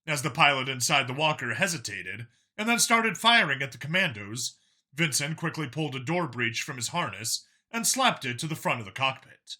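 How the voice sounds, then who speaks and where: A man's voice: medium pitch, big pitch swings, moderate pace, bright, forceful tone. A man reading a science fiction action story aloud as dramatic scripted narration. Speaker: audiobook-style story narrator.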